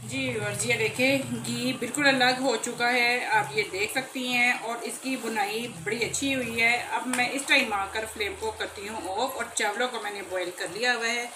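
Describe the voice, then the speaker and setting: Lady cooking at home, chatty, kinda high voice, echoey kitchen. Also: steady tone, normal speed, hardly any pauses. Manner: friendly, chatty, addresses viewers directly. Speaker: cooking channel host. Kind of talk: step-by-step recipe demonstration. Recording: echoey kitchen with faint background noise.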